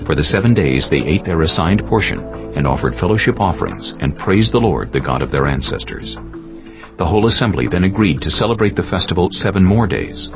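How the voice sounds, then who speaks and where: Very deep, gravelly male scripture narrator. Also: lively intonation. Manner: measured, solemn storytelling. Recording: dull sound with music behind. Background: prominent music.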